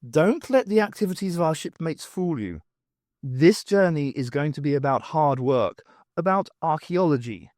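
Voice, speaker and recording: A man reading a first-person story aloud as an expressive audiobook narrator, with wry, dramatic, emphatic phrasing. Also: fairly high pitch, moderate pace, dry recording, clean studio sound. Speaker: audiobook reader.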